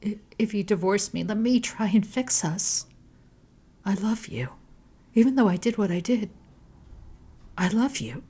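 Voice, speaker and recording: Woman doing a desperate, tearful wife, very high. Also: animated, a little slow, long pauses, mellow, breathy edge. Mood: sobbing. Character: desperate pleading adult woman, Amanda, guilt-ridden.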